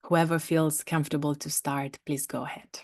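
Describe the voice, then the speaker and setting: A woman from Romania, a coach and trainer, speaking in an inviting, measured way as she leads a video-call webinar. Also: sits low, little pitch movement. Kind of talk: live online workshop talk.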